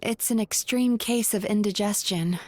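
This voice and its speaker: A woman playing a composed, earnest young dream therapist in a video game, measured and explanatory. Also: medium pitch, lightly acted. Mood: concerned, resolute.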